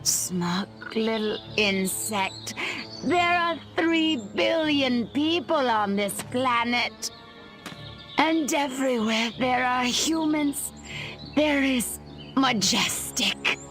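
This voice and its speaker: A woman's voice: high voice, lively intonation, very slow, fairly bright tone, soft background music, full character voice. A woman playing a bitter, defiant government agent in a video game, haughty and scornful in dramatic delivery. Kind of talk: video game character acting.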